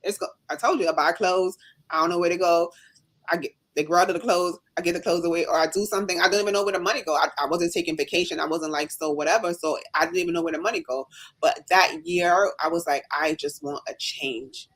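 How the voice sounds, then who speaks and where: A woman with a slight Jamaican accent, talking fast and with emphasis as she tells her own story in a casual live chat. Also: fairly low pitch, fairly bright tone. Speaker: nurse and business owner, guest.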